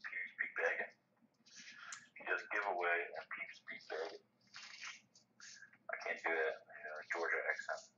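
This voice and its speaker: Man, very deep voice, sharp tone, teasing, casual chat, distant, echoey, lo-fi. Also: level tone, measured pace, pauses often, a little hiss or hum. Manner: joking, teasing, offhand. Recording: roomy, distant, dull lo-fi sound.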